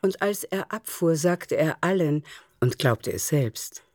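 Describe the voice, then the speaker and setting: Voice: medium pitch, expressive, slow pace, a little mellow, no room sound. A narrator reading scripted literary prose in a radio drama. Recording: music underneath. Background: music.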